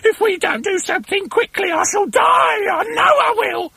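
A man's voice: very high voice, expressive, measured pace, vocal fry, pushed and bright, no echo, pitched above the performer's own voice, exaggerated voice. A man voicing a spiteful, hysterical married woman with screechy, panicky exclamations in a storybook reading.

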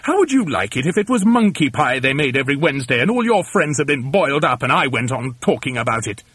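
A touchy, outspoken storybook bird, played by a man, protests with plaintive indignation. The voice is husky and lively in pitch, squeamish in temper. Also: quick pace, no echo. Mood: indignant.

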